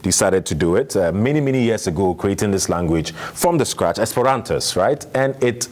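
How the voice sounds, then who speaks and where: A man hosting a lively debate show, talking in a provocative, challenging manner. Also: on the low side, brisk.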